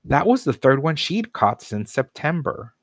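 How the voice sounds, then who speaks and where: A man's voice: medium pitch, sing-song, measured pace, faint music behind. A man narrating a children's storybook aloud as a scripted reading. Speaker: storybook reader.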